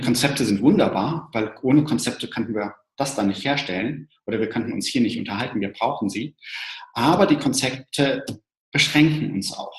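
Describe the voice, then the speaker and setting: A man's voice: medium pitch, a little mellow. A man explaining ideas thoughtfully, with some restarts. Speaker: co-presenter. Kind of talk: explanatory talk to an online audience. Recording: roomy echo.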